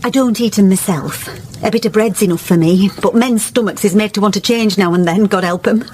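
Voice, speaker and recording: A woman's voice: mid-range voice, brisk, a little mellow, a little hiss or hum, natural voice. A woman playing a kindly, pious village wife in a radio drama, chatty and earnest, with a rural English accent. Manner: chatty, homely, earnestly persuasive.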